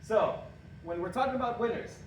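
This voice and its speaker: A man's voice: sits high, a little fast. Joking young man from Honduras, echoey hall. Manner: joking, quizzing the audience, chuckling at own jokes. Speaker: presenter. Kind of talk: lively interactive talk to a live audience. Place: hall with a live audience. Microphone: room mic from a distance. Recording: echoey hall recorded from far off, background noise.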